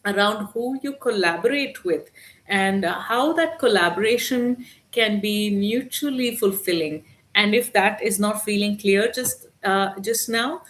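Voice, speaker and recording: A woman hosting a live spiritual channeling stream, speaking softly and gently with many hesitant fillers. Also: mid-range voice.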